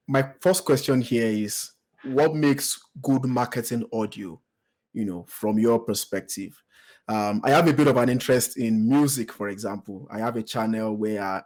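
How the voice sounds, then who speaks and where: A man from Nigeria with a Nigerian accent, hosting a podcast interview in a relaxed, hesitant way with many fillers and repeated words. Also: mid-range voice, a little slow. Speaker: podcast host. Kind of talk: conversational interview.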